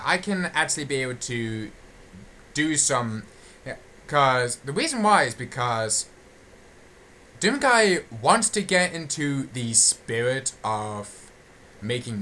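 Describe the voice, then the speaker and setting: A man with a bright, forceful voice talks casually through an unscripted audio log. He halts and circles back, restating his phrases as he goes. There is faint noise in the recording. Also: mid-range voice. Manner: halting, repetitive, often restating phrases. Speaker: channel host giving an update.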